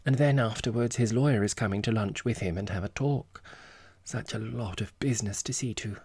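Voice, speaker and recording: A man plays an affected society lady from a storybook, coy and self-important. The phrasing is precious and theatrically reluctant, in a low, slightly husky register with a very dry, close sound. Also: brisk, darkish tone, dry recording. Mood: measured, self-important. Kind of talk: audiobook character dialogue.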